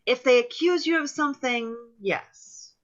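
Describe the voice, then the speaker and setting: A woman's voice: sits high, animated, unhurried, highs cut off. A woman playing an earnest, placating activist group leader in a play reading, hesitant and often trailing off.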